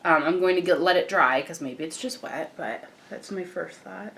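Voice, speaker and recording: A young woman with a croaky morning voice, chatting casually as she walks through a makeup tutorial. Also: on the low side, moderate pace. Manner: chatty, relaxed, frequent fillers and restarts. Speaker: beauty vlogger.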